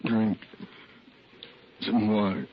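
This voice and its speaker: An actor playing a badly wounded cowboy with a rural Western American accent, weakly gasping out broken phrases in a radio western. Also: male voice, fairly low pitch, very slow. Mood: weak, pained. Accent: rural American West. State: weak, gasping from gunshot wounds. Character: badly wounded, dying cowboy, weak and earnest. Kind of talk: 1950s radio western acting. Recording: dull, faintly noisy old radio recording.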